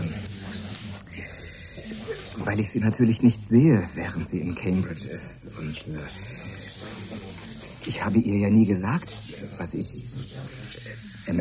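An actor playing a shy, lovesick young research assistant, earnest and stammering, in a German radio drama. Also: male voice, sits low. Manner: hesitant, stammering.

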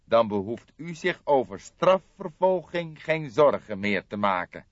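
A man voicing a violent gangster in an audiobook, speaking with emphatic, deliberate menace and indignation. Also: medium pitch, big pitch swings, no room sound, lo-fi sound, voice pushed up. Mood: menacing. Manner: agitated, emphatic, deliberate, threatening. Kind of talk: dramatised audiobook character reading.

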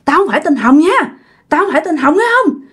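A woman's voice: on the high side, very animated, moderate pace, a bit bright, breathy edge, exaggerated voice. A woman with a Southern Vietnamese accent voicing a jealous, bitter female ghost in a told horror story, accusing and cursing with insistent repetition. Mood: accusing, anguished. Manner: accusing, repeats phrases insistently, bursts of menacing laughter.

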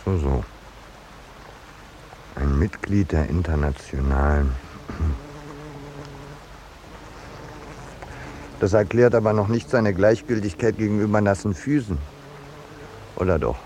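An actor playing a peaceable, unworldly landscape painter in a 1950s German radio play, drily polite and unruffled with a quiet irony. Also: male voice, low voice, lightly acted. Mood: dry, unruffled. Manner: dry, polite, unhurried irony. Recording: noisy 1950s radio drama recording.

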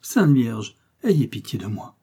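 A man's voice: mid-range voice, sing-song, slow pace, mellow, breathy edge, heavily acted. A man voicing a frightened, pious peasant mother, trembling and imploring as in an audio storybook. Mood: pleading. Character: terrified pious peasant mother pleading for her child.

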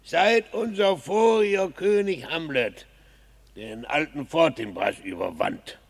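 A man's voice: on the high side, slow, vocal fry, exaggerated voice. A man in a radio drama playing a cheeky, earthy peasant gravedigger who drawls colloquially and quibbles. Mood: cheeky, quibbling. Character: cheeky male gravedigger, earthy peasant clown, singing at work. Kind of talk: classical radio drama acting.

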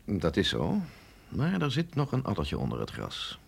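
Radio play scientist, middle-aged sceptic with a husky voice, lively pitch swings, measured and insistent. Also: male voice, heavily acted. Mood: authoritative, explaining. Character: sceptical, authoritative male scientist briefing an agent, no longer young. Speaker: scientist doctor. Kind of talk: radio drama acting.

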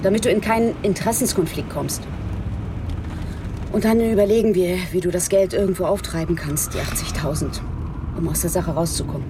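A woman's voice: on the low side, a little fast, close to an everyday voice. Woman acting a firm detective in her forties, faint noise. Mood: stern. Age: 40s. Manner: firm, accusing, direct. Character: stern, confronting female police detective around forty. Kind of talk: German radio drama acting.

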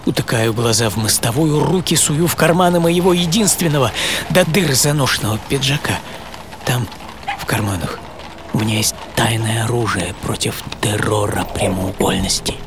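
An actor playing an eccentric, dreamy architect in his seventies in a theatrical radio monologue full of pauses. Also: a little high, noisy background, close to an everyday voice. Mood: agitated, then confiding, wondering. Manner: theatrical, pausing, swinging from hushed to emphatic. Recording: studio voice over prominent music.

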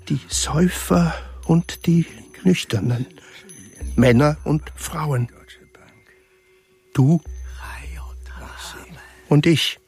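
A man narrating a radio drama in a grave, measured, solemn way. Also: medium pitch, a little mellow, husky. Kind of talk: slow, solemn literary narration.

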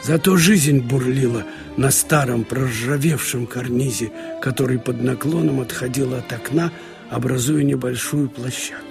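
Gravelly male storyteller over music. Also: mid-range voice, normal speed. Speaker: narrator reading short fiction. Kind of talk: literary audio story narration.

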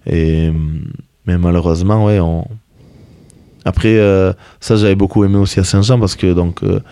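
Young man, native Basque speaker, very low pitch, mellow dark tone, dry close sound, halting speech. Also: fairly even intonation, clean tone, no echo. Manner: hesitant, many fillers and restarts. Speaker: rugby player, interview guest. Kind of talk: casual radio interview.

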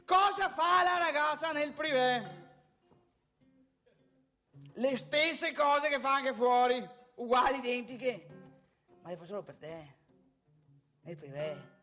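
Boastful, crude male club regular played by a woman, very high voice, emphatic and chummy, live comic theatre. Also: slow pace, long pauses, bright, forceful tone, soft background music, full character voice. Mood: confiding, explanatory. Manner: repetitive, emphatic, chatty, addressing listeners directly. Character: excitable, crude, boastful male strip-club regular from provincial northeast Italy.

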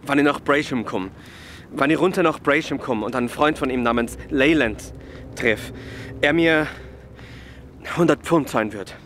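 Actor as nervous, stammering crook. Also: male voice, mid-range voice, normal speed, fairly bright tone, a little hiss or hum, natural voice. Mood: nervous pleading. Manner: stammering, repeating himself, protesting. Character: nervous, pleading male crook under questioning. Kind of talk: German radio crime drama acting.

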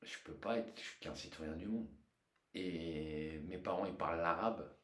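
Echoey low-voiced Frenchman, Arabic speaker. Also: normal speed, a bit bright. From France, Paris region. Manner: emphatic, rhetorical questions, repeats phrases for emphasis. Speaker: football coach, podcast guest. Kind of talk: impassioned conversational interview. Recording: echoey room sound.

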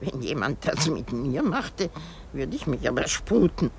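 A woman's voice: extremely low pitch, sing-song, unhurried, creaky, slight background noise, exaggerated voice. An actress playing an old, self-pitying, hysterical married woman in a radio drama, rambling theatrically with sighs and exclamations. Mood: agitated. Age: older.

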